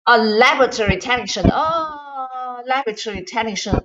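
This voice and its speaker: A woman who is a native Chinese speaker with a Chinese accent, chatting casually in halting English and often repeating words. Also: fairly high pitch, a loud crowd. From China. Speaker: medical device regulatory consultant. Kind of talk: casual language-practice chat. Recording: dull lo-fi online call audio.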